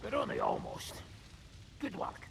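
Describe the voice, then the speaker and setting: An actor playing a sardonic, foul-mouthed medieval fighter in a video game, with a gravelly voice. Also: male voice, measured pace, lightly acted. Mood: grim sarcasm. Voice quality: gravelly, creaky. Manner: sardonic, coarse, swearing. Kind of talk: video game drama acting. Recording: clear background noise and ambience.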